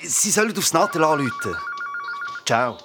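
Stressed, grumbling Swiss boss. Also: male voice, fairly high pitch, very animated, normal speed, over loud music. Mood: harried. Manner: irritable, cursing, complaining. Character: stressed, grumbling tradesman boss, working father. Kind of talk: naturalistic radio play acting.

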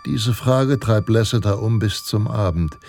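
A man narrating a radio drama in a calm, measured storytelling manner. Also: on the low side, vocal fry, a little hiss or hum. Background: music.

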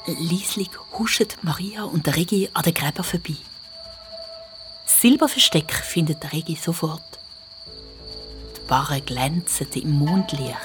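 A woman's voice: low-pitched, unhurried, pauses often, over music. A woman narrating a scripted children's audio story.

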